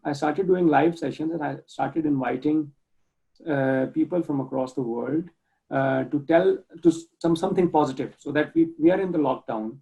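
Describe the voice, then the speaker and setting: A man's voice: on the high side, creaky, mellow. A man from Pakistan with a Pakistani accent, talking earnestly as an interview guest over a video call, often restarting words as he searches for phrasing. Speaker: guest, project manager and nonprofit volunteer. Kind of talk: casual interview talk. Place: home room, indoors. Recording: dull lo-fi video call audio.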